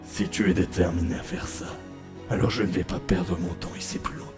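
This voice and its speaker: A cold, menacing void spirit acted by a man speaks low in a dramatic comic dub. He coaxes with condescension, his voice echoing over clear background music. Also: steady tone, fairly quick, close to an everyday voice.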